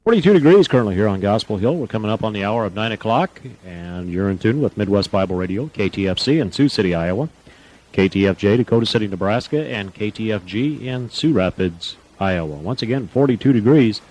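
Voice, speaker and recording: Low-pitched man announcing on radio. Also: lively intonation, fairly quick, darkish tone, poor audio. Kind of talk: radio station identification with time and weather.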